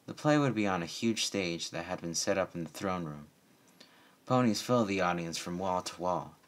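A man narrating a story aloud as a reader of fan fiction. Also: low-pitched.

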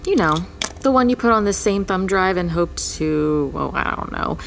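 A woman's voice: fairly low pitch, animated, normal speed, vocal fry. An actress playing a tough, stern female homicide detective in an audio drama, blunt and sarcastic. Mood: stern, scornful. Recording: long reverb, faint hum.

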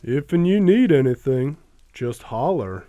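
Actor as slow, dim Southern servant. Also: male voice, medium pitch, animated, dark tone, lo-fi sound, close to an everyday voice. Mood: creepily obliging. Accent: American South. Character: strong, dim-witted, creepy Southern household servant lad. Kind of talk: audio drama acting.

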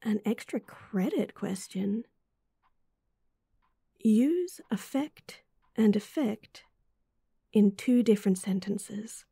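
A woman with an Australian accent playing a caring, teasing young student best friend in a roleplay audio, soft and breathy. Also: medium pitch, no echo, clean studio sound, heavily acted. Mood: thoughtful. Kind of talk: roleplay audio acting, intimate and softly spoken.

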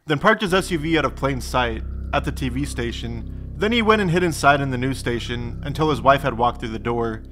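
A man hosting a true crime podcast, reading a scripted narration in a steady, measured way. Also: mid-range voice. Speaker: podcast host.

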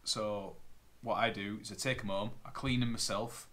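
A man's voice: lowish voice, steady tone, normal speed, fairly bright tone. A man, a plumber chatting casually as he unpacks and lists boiler parts at home. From Northern England. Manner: chatty, matter-of-fact, rattling off parts. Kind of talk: casual unboxing vlog. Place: living room at home. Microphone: camera on a tripod, seated at a table. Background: plastic bags crinkling.

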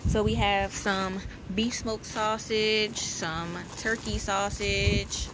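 A woman vlogger narrating a haul casually at home, in a matter-of-fact, halting way as she lists items. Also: medium pitch, steady tone, background noise. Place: indoors at home, carpeted room.

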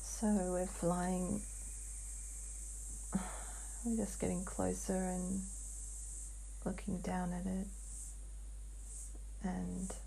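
A woman describing a trance vision in a dreamlike way as a guided hypnosis client, halting and hushed, with long pauses. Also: lowish voice, level tone, creaky, slight background noise, rough recording.

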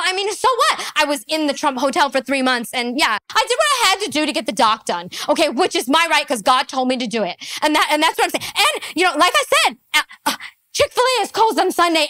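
A woman's voice: high-pitched, bright, forceful tone, no echo. A woman in her thirties hosting a satirical monologue, boastful, indignant and theatrical, close on a studio desk mic. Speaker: show host. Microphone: close desk microphone.

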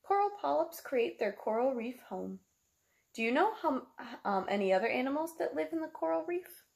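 A woman's voice: high-pitched, sing-song, moderate pace, vocal fry, a little mellow. A woman, reading a picture book aloud like a teacher, explaining simply to young children and asking them questions. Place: small room at home, indoors.

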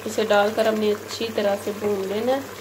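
A woman hosting a cooking channel, calmly listing ingredients and giving step-by-step recipe instructions. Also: medium pitch, background noise. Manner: plain, measured listing of ingredients. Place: home kitchen. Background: frying and stirring sounds.

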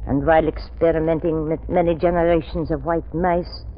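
An actor playing an elderly, obsessive scientist, agitated and halting, in theatrical 1930s radio drama style. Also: male voice, on the high side, little pitch movement, lightly acted. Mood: solemn. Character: elderly, anxious, obsessive male scientist doctor, trembling and fervent. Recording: dull, noisy old 1930s radio recording.

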